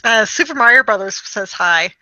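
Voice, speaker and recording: A woman's voice: medium pitch, animated, moderate pace, pushed and bright, no echo. A woman in her thirties co-hosting a podcast in casual, conversational chat. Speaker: podcast co-host.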